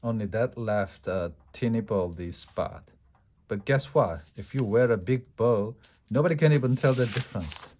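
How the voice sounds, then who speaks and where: A man voicing an excitable, bossy little schoolgirl who talks in a dramatic, breathless rush. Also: lowish voice, normal speed, natural voice. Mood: storytelling. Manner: breathless kid talk, dramatic.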